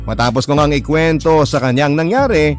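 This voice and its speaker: Man, somewhat high pitch, sentimental story narration, earnest, clear music bed behind. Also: expressive, moderate pace. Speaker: radio drama narrator. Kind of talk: scripted first-person story narration. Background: prominent instrumental music.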